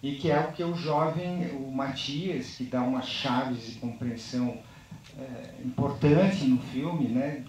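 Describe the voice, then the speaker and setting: A man's voice: mid-range voice, darkish tone, a little hiss or hum. Distant, echoey man on a panel. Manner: halting, reflective, tag questions after most phrases. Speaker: filmmaker speaking on a post-screening panel. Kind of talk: unscripted panel discussion.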